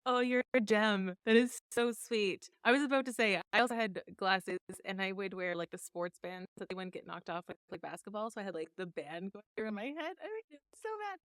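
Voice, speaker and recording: A woman's voice: medium pitch, animated, fairly quick, hardly any pauses, a bit bright, smooth, no echo, clean studio sound. A woman hosting a podcast interview, enthusiastic and gushing in a casual way. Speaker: podcast host.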